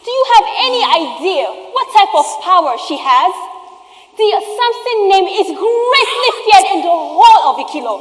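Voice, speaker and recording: Woman acting a shrill, fiery market hairdresser, echoey hall. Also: big pitch swings, moderate pace. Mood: indignant. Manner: loud, scolding, emphatic. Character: fiery, sharp-tongued market hairdresser woman, fearful of the powerful. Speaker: stage actor. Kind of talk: theatrical stage play acting. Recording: echoey theatre hall, long reverb.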